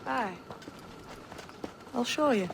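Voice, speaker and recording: An earnest assassin in a game cutscene. His voice is high for a man, blunt and plain-spoken, with faint noise behind it. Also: expressive, drawn-out words, vocal fry, exaggerated voice. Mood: earnest, persuasive. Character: earnest, blunt adult male member of assassin order. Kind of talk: video game cutscene acting.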